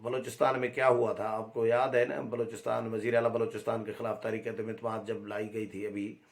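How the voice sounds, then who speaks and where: Pakistani male reporter, halting delivery. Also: fairly low pitch, little pitch movement, vocal fry. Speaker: political reporter. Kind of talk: unscripted political commentary.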